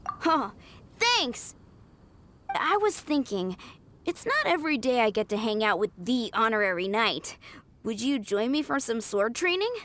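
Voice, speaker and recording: A woman's voice: a little high, sing-song, measured pace, a bit bright. An actress playing an eager young woman training to become a knight in a video game, earnest, enthusiastic and a little flustered. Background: game music.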